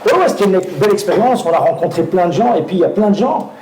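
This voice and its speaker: Guy telling stories on stage, high-ish mellow voice, lots of euh and restarts, roomy hall sound. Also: expressive, fast, a little hiss or hum. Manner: hesitant, many fillers and restarts, laughs while telling anecdotes. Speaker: actor guest. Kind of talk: live talk on stage, storytelling.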